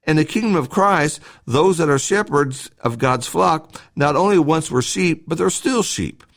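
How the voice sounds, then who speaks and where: A man reads a scripted devotional talk as a church podcast host, in a measured, instructive way with short emphatic phrases. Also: a little high, lively intonation, gravelly, a bit bright.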